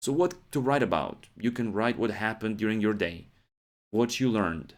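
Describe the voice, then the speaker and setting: A man's voice: lowish voice, animated, moderate pace, a little mellow. A man, hosting a podcast English lesson and checking in with "yeah?" and "right?". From Ukraine. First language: Ukrainian. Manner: explains steadily, often adds "yeah?" and "right?" checks. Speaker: English teacher, podcast host.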